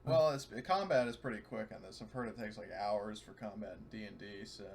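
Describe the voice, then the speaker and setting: Low-voiced dude talking shop about his tabletop game, casual, swears some, bit of noise on the mic. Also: brisk. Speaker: tabletop role-playing game player. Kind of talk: casual post-game chat.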